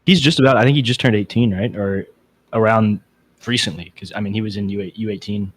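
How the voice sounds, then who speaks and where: Low-voiced man in casual podcast chat. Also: fast talker, slightly rough. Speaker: podcast host. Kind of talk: casual chat about beatbox competitions.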